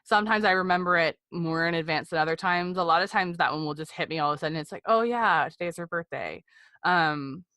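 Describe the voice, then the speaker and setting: A woman's voice: lowish voice, steady tone, fast, few pauses, pushed and bright, no echo. An American woman in her thirties telling personal stories as a podcast guest, in a candid, chatty conversational way. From Seattle area, United States. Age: 30s. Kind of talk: personal storytelling in a conversational interview.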